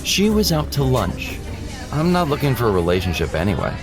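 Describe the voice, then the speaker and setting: A sleazy passenger leers and flirts with smug confidence in an audio drama, played by a man. His voice is lively and mid-pitched. Music plays behind him. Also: a little fast, lightly acted. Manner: smug, leering.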